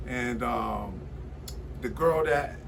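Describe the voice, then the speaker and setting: A man's voice: mid-range voice, drawn-out words, gravelly, far from the mic, noisy background. Rambling forty-something man, off-mic. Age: 40s. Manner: rambling storyteller, many fillers and restarts. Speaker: guest. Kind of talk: casual studio conversation.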